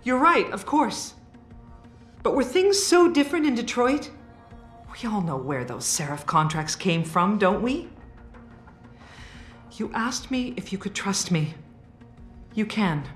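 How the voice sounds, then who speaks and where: An actress playing a wry, guarded female research scientist in a video game, speaking in a measured way. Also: medium pitch, sing-song. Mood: wry, defensive. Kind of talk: video game cutscene dialogue. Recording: roomy game cutscene with music. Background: background music.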